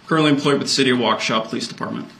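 Gravelly American man testifying formally. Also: mid-range voice, a bit bright. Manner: precise, measured, formal. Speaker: police officer witness. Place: indoor courtroom witness stand. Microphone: stand microphone before him. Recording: courtroom microphone with faint noise.

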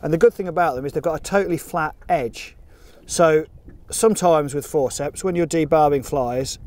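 A man's voice: fairly high pitch, a little mellow. A middle-aged man gives casual, matter-of-fact instructional commentary as an angler. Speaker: competition angler presenting a fishing film. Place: open boat on a lake, outdoors. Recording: outdoor water and boat noise.

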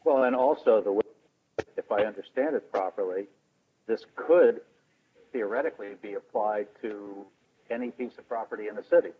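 A man's voice: fairly low pitch, moderate pace. A man questioning a proposal as a committee member in a remote meeting. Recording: tinny phone line with clear noise.

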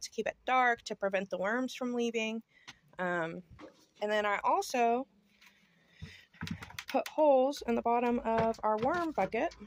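A woman's voice: fairly high pitch, slight background noise. A woman in her thirties talking casually through a how-to vlog, recorded outdoors on a handheld phone. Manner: chatty, informal. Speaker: homestead vlogger. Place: outdoors on a home porch. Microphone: phone at arm's length or handheld.

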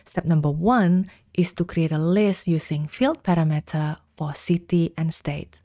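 Slow, mellow woman explaining software. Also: sits low, no room sound, studio quality. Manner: calm step-by-step instructions, slow deliberate pacing. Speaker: software tutorial presenter. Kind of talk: screen-recorded software tutorial.

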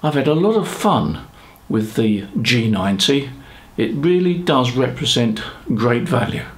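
An older British man in his seventies presents in an explanatory style. His voice is noticeably creaky, and he speaks in a measured way with pauses mid-sentence. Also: medium pitch, big pitch swings. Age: 70s. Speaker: ham radio presenter. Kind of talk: explanatory talk to camera. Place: small home studio room, indoors.

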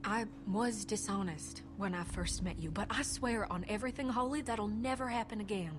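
A woman's voice: mid-range voice, moderate pace, close to an everyday voice. An actress playing an anxious, earnest woman survivor in a video game, confiding in a naturalistic way. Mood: earnest, uneasy. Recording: game audio with music behind. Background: music.

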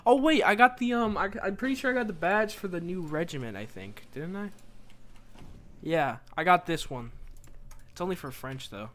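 A young man giving casual, rambling commentary while he plays a game live, excitable and joking at his own expense. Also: high-pitched. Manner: excitable, rambling, self-mocking, quick laughs. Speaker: streamer.

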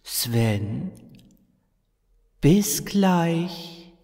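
A man voicing a calm, welcoming alien intelligence with a slow, drawn-out, beckoning delivery in an audio story. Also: fairly high pitch, big-room sound, voice pushed up, heavily acted. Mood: eerily welcoming.

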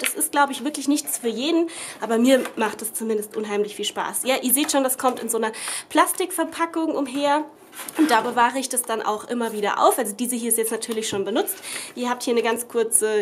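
A young woman with a cold talks her way through a crafting demo at a table at home, rambling and correcting herself as she goes. Her voice sits a little high. A faint hiss stays under the recording. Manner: chatty, rambling, many fillers and self-corrections. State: has a cold. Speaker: craft vlogger. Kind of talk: casual crafting tutorial chat. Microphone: camera above the table, speaker off screen.

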